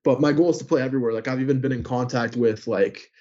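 A young man born in Greece chats easily as an interview guest, filling his answers with ums and likes. His voice sits at an ordinary middle pitch. The audio comes through a little dull and muffled. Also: fast talker, mellow. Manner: chatty, many filler words. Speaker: guest, hockey player and sports news account runner.

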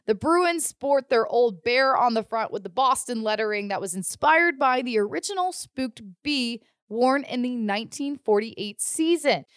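A woman with an American accent and a fairly high voice works as a sports podcast host. She presents in a studio style, recorded close and dry with very little room sound. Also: animated, normal speed, few pauses, a bit bright, smooth, no echo. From Ohio, United States. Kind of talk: scripted sports news and studio interview.

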